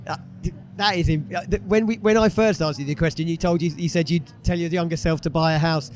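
A man with a slightly hoarse voice, enthusiastically interviewing exhibitors at a business show. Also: a little high, fairly even intonation, a little mellow, slight background noise. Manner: enthusiastic, quick to praise. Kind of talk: upbeat vox-pop interviewing. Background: exhibitors packing up.

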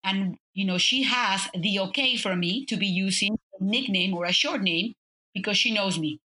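A woman in her fifties from Mexico, with a Mexican Spanish accent, speaking as an interview guest in a warm, storytelling way with many fillers and restarts. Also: mid-range voice, normal speed, poor audio. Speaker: guest, business consultant. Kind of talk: conversational interview.

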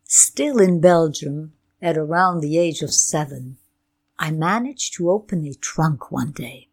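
An older woman whose first language is Ukrainian, telling her family's story in a slow, deliberate, reflective way. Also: deep voice. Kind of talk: personal storytelling talk.

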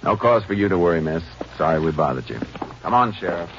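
An actor playing a calm, matter-of-fact Texas Ranger who also narrates, in a 1950s radio drama. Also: male voice, sits low, fast. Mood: firm. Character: steady, no-nonsense male Texas Ranger investigator. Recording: dull old radio broadcast with music.

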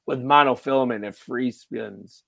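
Man, raspy, fishing guide, from western New York, matter-of-fact, casual chat. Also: medium pitch, moderate pace, bright, forceful tone. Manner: matter-of-fact, explains gear in detail.

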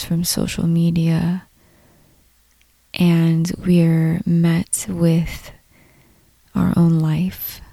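A woman leading a guided meditation in a soft, breathy, calm voice, unhurried, with long pauses between short phrases. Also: fairly low pitch, dry recording. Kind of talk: slow guided meditation narration.

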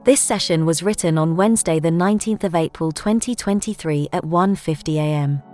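A woman's voice: low voice, fairly quick, over music. A woman announcer reading a scripted podcast introduction.

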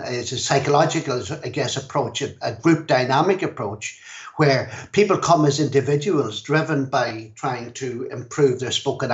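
A middle-aged man with an Irish accent, a language school director, explaining things in a measured way in a podcast interview. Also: mid-range voice, highs cut off. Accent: Irish, Donegal. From Ireland.

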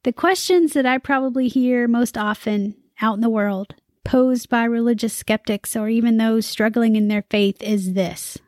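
Upbeat woman podcast host, fairly high voice. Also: normal speed, few pauses, darkish tone, dry recording, clean studio sound. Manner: upbeat, conversational, lightly humorous.

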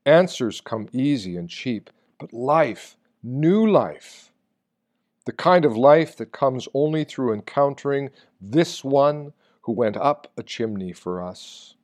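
A man reading a reflective sermon from a text in a solemn, deliberate manner, posing rhetorical questions. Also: medium pitch, expressive, darkish tone. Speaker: reader of a Lenten meditation.

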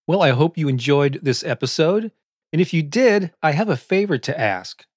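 A man reading scripted motivational affirmations as a podcast host, in a deliberate, encouraging manner. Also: on the high side, sing-song, breathy edge. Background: new-age music.

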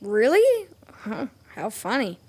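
A woman's voice: on the high side, huge pitch swings, slow pace, mellow, breathy edge, exaggerated voice. A woman playing a friendly, curious young girl in a children's fantasy audio drama, speaking in an earnest, conversational way.

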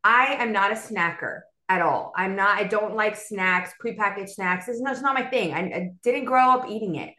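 A young adult woman from South Florida, a bilingual Spanish and English speaker, telling her story as a podcast guest in an animated, chatty way. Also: mid-range voice, normal speed, hardly any pauses, reverberant. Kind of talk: casual conversational storytelling.

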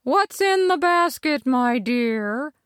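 A woman voicing a sly male wolf who fakes a sugary, high granny voice. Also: expressive, slow pace, fairly bright tone, dry recording, studio quality, exaggerated voice. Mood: falsely sweet. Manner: sugary, falsely sweet, high and quavering.

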